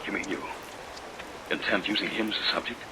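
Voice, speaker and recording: A man's voice: low voice, steady tone, fairly quick, lightly acted. A man acting in a vintage radio drama as an earnest research assistant who narrates his own story dramatically. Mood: hesitant concern. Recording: vintage radio recording, loud rain noise.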